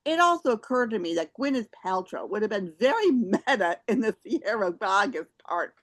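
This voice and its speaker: A woman's voice: mid-range voice, very animated, normal speed. A woman reading out theater reviews as a show host. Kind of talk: scripted review read aloud.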